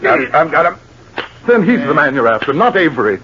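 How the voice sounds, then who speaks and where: An actor playing a heartbroken, disbelieving colonel with a pleading, halting manner, 1940s radio drama. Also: male voice, a little high, big pitch swings, fairly bright tone. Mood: shaken. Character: heartbroken, disbelieving colonel betrayed by his sweetheart. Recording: muffled, noisy 1940s radio recording.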